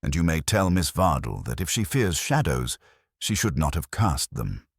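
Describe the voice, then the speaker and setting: A man's voice: a bass voice, big pitch swings, normal speed, vocal fry, husky, no room sound, studio quality, full character voice. A man voicing an arrogant, coolly menacing businessman in an audiobook, speaking in a formal, haughty way. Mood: smug, threatening. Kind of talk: audiobook character dialogue.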